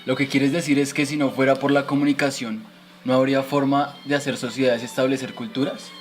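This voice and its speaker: A man's voice: mid-range voice, little pitch movement, brisk, faint music behind, close to an everyday voice. A man playing a reluctant, sceptical young son who questions things in an amateur radio drama. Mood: puzzled, curious.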